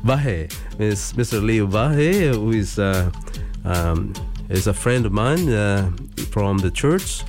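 A man's voice: on the low side, lively intonation, slow pace, few pauses, a little mellow, faint music behind. Filipino-accented male radio host. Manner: hesitant, many fillers, mixing Filipino and English. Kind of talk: casual radio chat. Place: radio studio.

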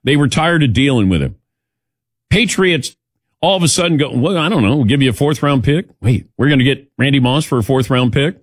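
Lively male sports radio host. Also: medium pitch, brisk, dry recording, studio quality. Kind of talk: casual sports talk and host-read ad.

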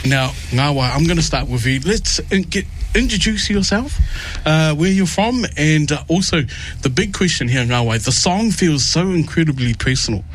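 Male radio host, fairly high voice, loose and rambling, greets guests warmly. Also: animated, normal speed, slight background noise. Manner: rambling, repeats words and restarts often. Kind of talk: warm casual interview with Pacific greetings.

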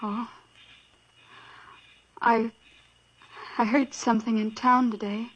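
A shy young woman in a vintage radio play, speaking in a high, soft voice. The tone is breathy and earnest, with a touch of wistfulness. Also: level tone, slow, dull audio. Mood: gentle. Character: shy, gentle young woman running a small-town gas station. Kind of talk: 1940s radio acting.

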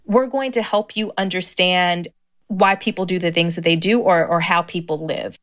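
A woman's voice: on the low side, steady tone, moderate pace. A woman giving thoughtful, explanatory interview answers as an expert. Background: loud music.

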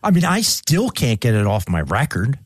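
A man's voice: medium pitch, wildly swooping pitch, moderate pace, husky, no echo, studio quality. A man co-hosting a recovery radio talk show, speaking earnestly and reassuringly to listeners. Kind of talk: casual radio chat.

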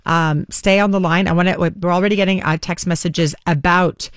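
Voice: mid-range voice, steady tone, normal speed, dry recording. A radio host whose first language is Greek, chatting energetically on live radio from a studio and steering an interview.

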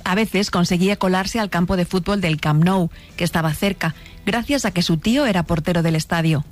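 A woman giving scripted documentary narration in a steady, measured reading. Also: lowish voice, faint music behind.

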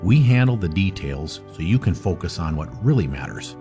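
Low, mellow male ad announcer. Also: very animated, moderate pace, soft background music. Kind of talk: scripted ad read.

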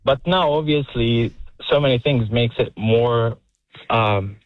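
Earnest caller from Saudi Arabia on a muffled line. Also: male voice, mid-range voice, dry recording. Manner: earnest, halting, restarts phrases. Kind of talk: casual phone-in chat.

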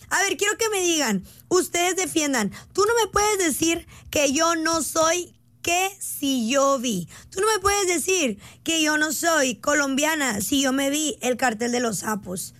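Mexican female host, high voice, close dry sound, slangy playful banter with mock indignation. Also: fairly bright tone, no room sound. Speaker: live stream host. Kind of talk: casual chat with listeners.

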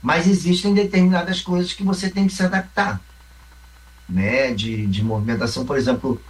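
A man's voice: on the high side, steady tone, moderate pace, recorded from a distance. A man from the south of Brazil with a Carioca accent, a mime and theatre company member, answering interview questions in a reflective way, explaining with examples and often asking "né?". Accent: Carioca Brazilian Portuguese. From Rio Grande do Sul, Brazil.

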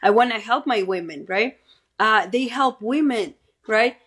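Middle-aged Mexican-accented woman, Spanish native, husky; earnest guest who keeps checking in with "right?". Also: fairly high pitch, measured pace, a bit bright. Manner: earnest, tags statements with "right?". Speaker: guest, pro-life speaker. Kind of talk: interview answers.

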